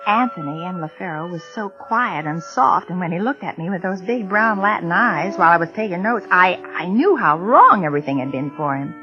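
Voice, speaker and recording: A woman's voice: on the low side, a little fast, hardly any pauses, close to an everyday voice. An actress playing a flustered, chatty secretary who tells her story in old radio suspense style. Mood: earnest, brisk. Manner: conversational, expressive storytelling. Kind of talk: 1940s-50s American radio suspense acting. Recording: muffled vintage radio recording, music behind.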